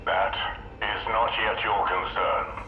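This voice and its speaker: An actor playing a stern, secretive Inquisitor in a video game, with a deliberate, authoritative delivery. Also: male voice, lowish voice, little pitch movement, a little hiss or hum. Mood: stern, guarded. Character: stern, secretive male human Inquisitor, imperious. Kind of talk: scripted video game voice acting. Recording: echoey, dull band-limited transmission, music behind.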